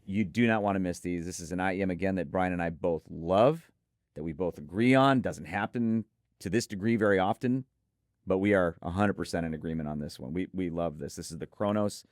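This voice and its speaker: Low voiced guy hyping up audio gear, really emphatic, super dry close sound. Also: brisk, no echo. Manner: emphatic, effusive, repeats points for emphasis. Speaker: audio gear reviewer and co-host. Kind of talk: enthusiastic product preview talk.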